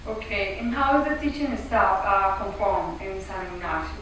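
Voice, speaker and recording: A woman's voice: mid-range voice, lively intonation. Hesitant woman interviewer, distant and echoey. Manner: hesitant, halting phrasing. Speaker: newspaper interviewer. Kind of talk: interview questions. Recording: very echoey, far from mic, faint noise.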